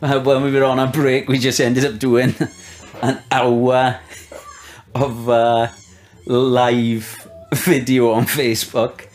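A man's voice: mid-range voice, little pitch movement, slow pace. A man hosting a podcast, tipsy and laid-back, laughing and swearing in casual banter. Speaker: podcast host. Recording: roomy echo.

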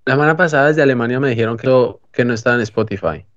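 A man's voice: medium pitch, very fast, fairly bright tone, no room sound, lo-fi sound. A Colombian man co-hosting a podcast, chatting casually in a colloquial, joking way. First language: Spanish. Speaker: podcast co-host. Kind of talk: casual chat.

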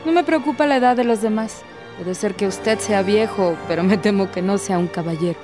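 An actress playing a devout young maiden in a radio drama, proud and defiant, with formal, dignified speech. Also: female voice, medium pitch, a little fast, lightly acted. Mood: haughty, dismissive. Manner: formal, dignified, firm retorts. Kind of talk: theatrical radio drama acting. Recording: music behind the voice.

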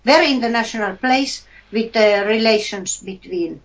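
Finnish woman, slightly high voice, lots of ums and restarts, muffled lo-fi sound. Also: moderate pace. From Finland. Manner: hesitant, searches for words, laughs often. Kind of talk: casual film discussion. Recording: dull lo-fi recording.

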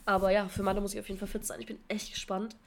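A woman in her twenties co-hosting a podcast, chatting casually and slangily while hoarse from a cold. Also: mid-range voice, flat delivery, very fast. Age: 20s. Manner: chatty, slangy, many fillers. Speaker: podcast co-host. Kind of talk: casual chat.

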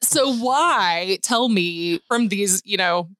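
A woman's voice: medium pitch, animated, slow, fairly bright tone, no echo, studio quality. A woman hosting a podcast, chatty and bubbly, laughing often as she talks. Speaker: podcast host. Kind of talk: casual chat.

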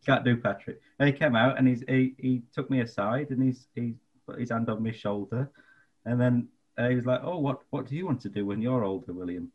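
A young British man with a mid-pitched voice chats on a podcast. He tells anecdotes hesitantly and modestly, stopping and starting. The sound is a little dull. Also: brisk, breathy edge. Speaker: podcast co-host. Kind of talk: casual chat, anecdote.

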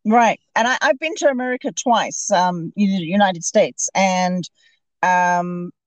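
A woman's voice: medium pitch, measured pace, dry recording, poor audio. Hesitant Zimbabwean-born woman guest. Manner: hesitant, many restarts and fillers. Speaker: podcast guest, author. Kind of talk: casual interview conversation. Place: calling in remotely.

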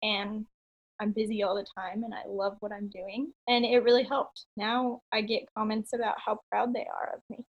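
A woman's voice: medium pitch, level tone, normal speed, breathy edge, highs cut off. A woman in her late twenties, a podcast guest talking casually and upbeat, with frequent ums and little laughs. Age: 20s. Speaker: podcast guest, online entrepreneur. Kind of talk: casual interview chat.

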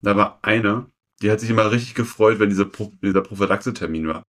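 A man in his twenties chatting casually as a podcast co-host, telling stories in a halting, rambling way. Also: fairly low pitch. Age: 20s. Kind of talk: casual chat.

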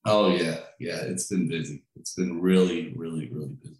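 A man from Peru, a chef who runs a food truck, answering interview questions in a relaxed, chatty way, often restarting his sentences. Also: low voice, normal speed. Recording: very echoey room.

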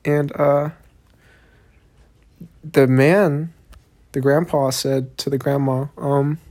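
A man's voice: medium pitch, steady tone, slow, darkish tone. A young man with a Southern Texan accent hosting a solo podcast, rambling in a laid-back, profane way with plenty of fillers. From Texas, USA. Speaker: podcast host.

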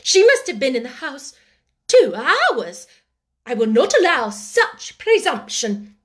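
A haughty schoolmistress speaks with clipped, rigid politeness that turns to cold command, as a woman narrator puts her on. Her voice sits a little high and carries loudly. This is a storybook reading. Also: very animated, takes it slowly, exaggerated voice. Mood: stern, indignant. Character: haughty stern middle-aged woman schoolmistress.

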